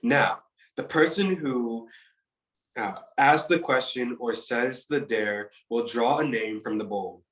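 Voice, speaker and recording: A man's voice: medium pitch, slow pace, a bit bright, poor audio. A man reading stage directions and coaching actors at a table read.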